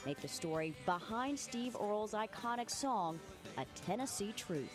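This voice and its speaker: A woman's voice: mid-range voice, expressive, moderate pace. A woman reporter reading scripted narration for a television news feature. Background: music.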